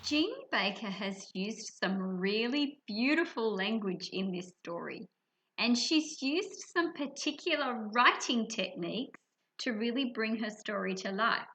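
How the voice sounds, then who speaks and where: Female teacher for a children's reading lesson. Very lively intonation, bright tone, emphatic on key words. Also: fairly high pitch. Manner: expressive, stresses key words.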